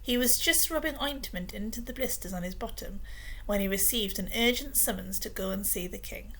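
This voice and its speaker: Lady reading a kids story out loud, lots of hushed bits, kinda gravelly. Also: mid-range voice, a little fast, rough recording. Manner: expressive, often dropping to a hushed whisper. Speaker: storybook reader.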